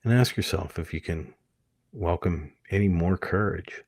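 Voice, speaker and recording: A man with a low voice leads a guided meditation in a gentle, permissive manner. His tone is husky and breathy, and he leaves pauses between short, soothing phrases. The sound is dry and close, with almost no room to it. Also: no echo. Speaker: hypnotist leading a guided meditation.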